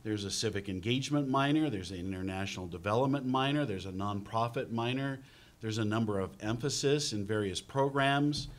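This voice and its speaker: A man's voice: on the low side, brisk. A middle-aged man speaking as a panelist in a university lecture room, earnest and thinking aloud, into a table microphone. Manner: earnest, repeats words while thinking aloud. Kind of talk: panel discussion. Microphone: table mic on stand.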